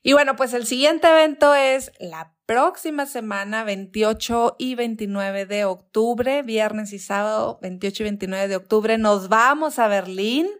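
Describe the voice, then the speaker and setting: A woman's voice: fairly high pitch, measured pace, hardly any pauses. A woman speaking as a radio guest, promoting an upcoming event in an upbeat, inviting way and addressing listeners directly.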